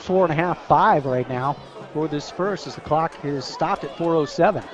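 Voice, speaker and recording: A man's voice: on the high side, normal speed, dull audio. An American man giving conversational color commentary on a live football radio broadcast. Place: outdoor high school football stadium. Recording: loud crowd behind, outdoor stadium broadcast. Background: crowd noise.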